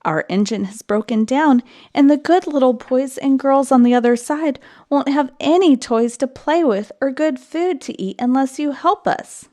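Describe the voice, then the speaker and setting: A woman's voice: moderate pace, mellow, close to an everyday voice. A woman voicing small, earnest, childlike dolls and toys in a storybook, pleading for help. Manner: high, pleading.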